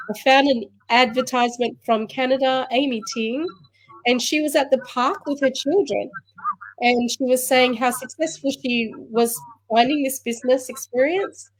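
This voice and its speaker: A woman in her fifties with an Australian accent, a grandmother telling her story as an interview guest, steady with occasional fillers. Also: a little high, low-quality recording. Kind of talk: conversational storytelling.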